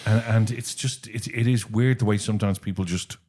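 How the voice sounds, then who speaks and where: A man with an Irish accent co-hosting a podcast, telling stories in an animated, chatty way. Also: fairly low pitch, a little fast, husky. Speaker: podcast co-host. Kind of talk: casual podcast chat.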